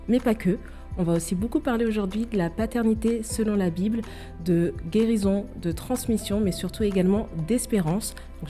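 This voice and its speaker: A woman's voice: mid-range voice, mellow. A woman hosting a podcast, presenting and interviewing in a welcoming, enthusiastic way. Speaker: podcast host.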